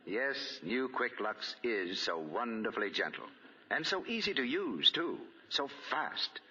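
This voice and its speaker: A man's voice: medium pitch, big pitch swings, measured pace, sharp tone, husky. An American man working as a 1940s radio announcer, reading copy with polished, emphatic phrasing on a dull old recording.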